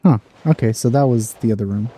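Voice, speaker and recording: A man's voice: mid-range voice, fairly quick, warm tone, a little hiss or hum. A man hosting a let's play, making wry, sarcastic asides as casual gameplay commentary.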